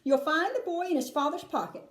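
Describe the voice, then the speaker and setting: A woman's voice: high voice, animated, fairly quick, pitched above the performer's own voice. A woman playing a breezy, flippant, eccentric showman inventor in a storybook reading. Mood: brisk. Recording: roomy, echoing room sound.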